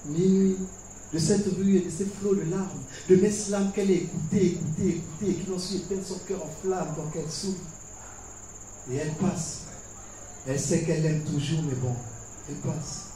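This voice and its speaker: A high-pitched male voice performs spoken-word poetry with theatrical flair. He builds the story through rhythmic repetition and deliberate pauses. The recording is very echoey and picked up from a distance, with faint noise behind it. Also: a little mellow. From Gabon. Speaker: slam poet. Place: live poetry competition stage.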